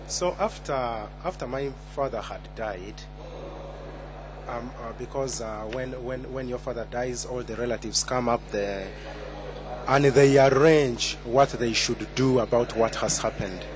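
A young man from Uganda tells his story at a podium microphone, stopping often to restart his sentences. His voice sits at a middling pitch, and a faint hum runs underneath. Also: measured pace. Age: 20s. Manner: hesitant, many fillers and restarts. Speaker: conference speaker. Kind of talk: personal testimony.

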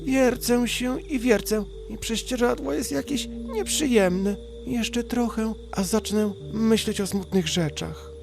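A man's voice: high-pitched, slow, gravelly, few pauses, music in the background, voice pushed up, full character voice. A man voicing a young, fretful female troll creature in a storybook, with a whiny, plaintive manner. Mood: hot, complaining.

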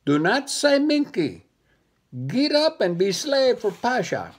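A man's voice: extremely high pitch, big pitch swings, slow pace, voice pushed up, full character voice. A man voicing a sly, bossy male monkey who speaks broken English and refers to himself by name. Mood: menacing.

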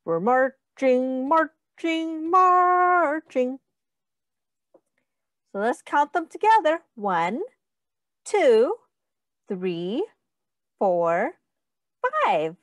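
Woman preschool read-aloud teacher. Higher-pitched, very lively intonation, instructive and sing-song, inviting kids to count along. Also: very slow, long pauses, no room sound, clean studio sound. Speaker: teacher reading a picture book aloud to young children.

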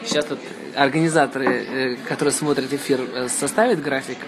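A man's voice: medium pitch, steady tone, fairly quick. A man, a singer streaming live with his band, chatting casually and teasing them at a table indoors. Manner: joking, teasing, playful. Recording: loud crowd noise behind.

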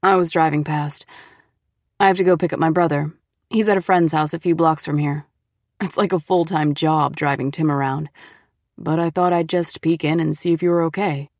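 A woman's voice: sits low, steady tone, moderate pace, darkish tone, dry recording, clean studio sound, voice pushed down. A woman voicing a casual, teasing teenage boyfriend in an audiobook story. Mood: reassuring. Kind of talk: audiobook character dialogue.

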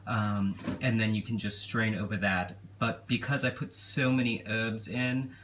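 A young man playing a genteel, affectionate elf king giving a gentle, hesitant, casual cooking demonstration. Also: fairly low pitch, steady tone, unhurried. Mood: chatty, unhurried. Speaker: cooking show host. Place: small home kitchen, indoors. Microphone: camera a step or two away. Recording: clearly noisy kitchen recording.

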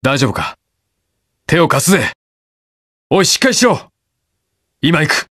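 An actor playing a stubborn, protective young masked hero in a video game, speaking curtly and brusquely. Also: male voice, fairly high pitch, very animated, measured pace, a bit bright, no echo, studio quality, heavily acted. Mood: grumbling, casual. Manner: curt, brusque, rough casual speech. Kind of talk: video game voice lines, battle quips.

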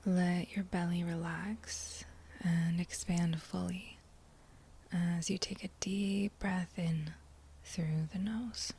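A woman guiding a meditation in a breathy whisper, gentle and soothing, with long unhurried pauses between phrases. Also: lowish voice, fairly even intonation. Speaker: meditation guide. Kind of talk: guided meditation, whispered soft-spoken.

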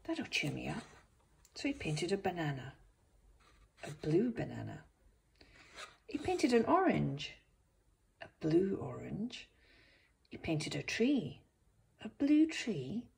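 A woman reading a picture book aloud to young children as its author, expressive, with gentle asides. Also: lowish voice, takes it slowly, creaky, pauses often, a little hiss or hum.

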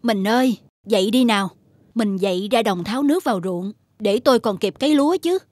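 A sensible young peasant wife in a cartoon folk tale. Her voice is high, her manner earnest and practical with a nagging edge, and music runs beneath her. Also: lively intonation, a little fast, darkish tone, no echo, clean studio sound. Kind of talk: animated folk tale acting.

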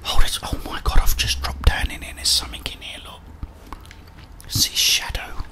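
A middle-aged man with a British accent whispers his way through a video game in ASMR style. He thinks aloud in a soft, breathy murmur, close to the microphone, as if muttering to himself. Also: moderate pace. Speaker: gaming streamer.

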